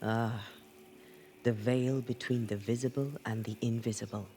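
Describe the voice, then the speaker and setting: A man playing a steady, courteous ghost hunter in a video game, speaking in a measured, earnest way. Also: medium pitch, slight background noise, close to an everyday voice. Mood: concerned. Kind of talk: video game cutscene acting.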